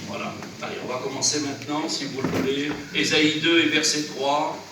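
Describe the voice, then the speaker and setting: A man's voice: a little high, flat delivery, moderate pace. A man preaching a sermon in church, who has a cold and a runny nose, exhorting earnestly in short phrases. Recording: very echoey church, from far off, noisy.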